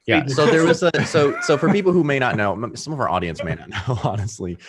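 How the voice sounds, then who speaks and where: A man with a low voice, hosting a casual chat and explaining at length with many fillers and laughs. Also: fast talker, noisy background.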